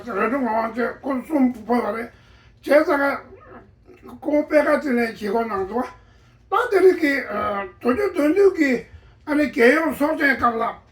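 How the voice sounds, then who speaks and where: Slow, halting woman in an echoey room. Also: fairly high pitch, expressive, far from the mic. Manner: halting, with many pauses and restarts. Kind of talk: spontaneous personal account.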